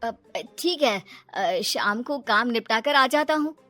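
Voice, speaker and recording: A woman voicing an honest, polite and eager noodle-cart seller, a man, in an animated moral story. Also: fairly high pitch, a little slow, no echo, faint music behind. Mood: obliging. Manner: polite, obliging.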